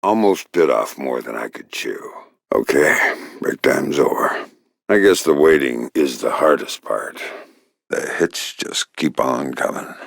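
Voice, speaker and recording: An actor playing a gruff, wry, middle-aged covert agent in a video game, speaking tersely in a gravelly, often breathy growl with deadpan sarcasm. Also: male voice, very deep voice, a little slow, no echo, clean studio sound, heavily acted. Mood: dry, wry.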